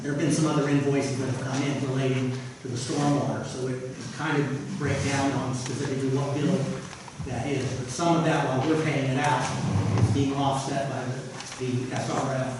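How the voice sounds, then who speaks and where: A man, a city official, explaining budget details at a public meeting in a halting, explanatory way. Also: mid-range voice, little pitch movement. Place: council meeting hall. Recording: echoey hall, distant mic, background noise.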